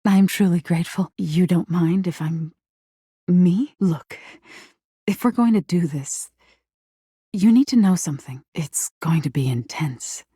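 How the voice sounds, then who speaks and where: A woman playing a living nightmare spirit in a video game, seductive and eerie, with a breathy, intimate delivery and a wounded, menacing edge. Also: unhurried, dark tone, no echo, clean studio sound. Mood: gleefully eerie. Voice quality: breathy, husky, low. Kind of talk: video game character acting.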